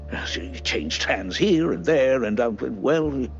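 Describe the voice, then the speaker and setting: A shifty cartoon bumbler, tripping over his words in evasive bursts. His creaky voice jumps around in pitch, slightly dull over music. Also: expressive. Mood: nervous, evasive. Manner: stammering, halting. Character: nervous, shifty male schemer, bumbling and evasive. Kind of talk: cartoon game acting.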